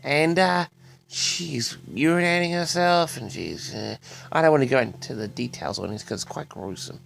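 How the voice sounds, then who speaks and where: A man hosting an audio log, rambling in a halting solo monologue with frequent pauses, addressing his audience directly. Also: medium pitch, vocal fry, fairly bright tone. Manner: halting, pausing often, repeatedly addresses the audience.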